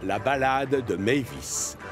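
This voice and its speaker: A man narrating a children's animated story in a scripted, expressive storytelling style with deliberate phrasing. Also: medium pitch, over music.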